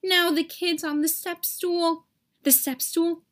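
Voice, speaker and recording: A woman voicing an excitable, easily alarmed cartoon germ in an exclamatory, melodramatic storybook style. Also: very high voice, slow pace, voice pushed up, heavily acted. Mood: panicked.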